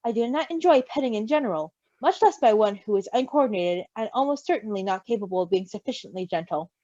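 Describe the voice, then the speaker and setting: A woman's voice: fairly high pitch, lively intonation, fast talker, mellow, no room sound, muffled recording. A woman voicing a prim, easily ruffled male crow who speaks in formal, precise words in a storybook reading. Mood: prim, indignant. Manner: formal, precise, haughty wording.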